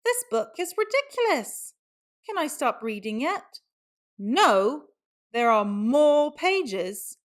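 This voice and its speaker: A woman's voice: high-pitched, sing-song, takes it slowly. A woman reading a children's book aloud in a theatrical storytelling style, with exaggerated exclamations.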